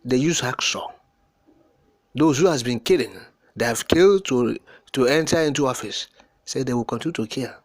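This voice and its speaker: A man's voice: medium pitch, normal speed, pauses often, dry recording. A man with a Nigerian accent giving impassioned, unscripted political commentary in an emphatic, oratorical way, repeating and piling up words for emphasis.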